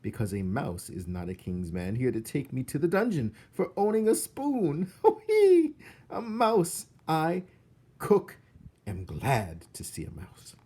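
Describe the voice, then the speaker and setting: A man voicing an excitable, jumpy yet jolly female castle cook from a children's storybook, in an exclamatory way. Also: medium pitch, a little slow, slightly rough, pitched above the performer's own voice, exaggerated voice. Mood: gleeful. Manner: exclamatory, self-muttering, giggling "hoo-hee".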